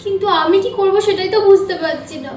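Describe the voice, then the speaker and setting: A woman's voice: very high-pitched, fast, darkish tone. A young woman playing a timid, polite office employee in a short-film melodrama, hesitant and deferential. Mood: unsure. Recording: echoey room, distant mic, faint music.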